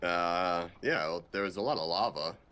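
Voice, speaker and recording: A man playing a cocky, dim-witted action agent in a comedic game fan dub, with deadpan, hesitant, sweary banter. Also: sits low, normal speed. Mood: hesitant, sardonic.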